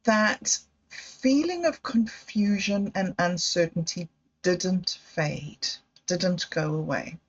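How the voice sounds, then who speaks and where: A woman hosting a livestream, talking slowly and candidly in a meandering personal monologue. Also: lowish voice. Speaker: livestream host. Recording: dull lo-fi livestream audio.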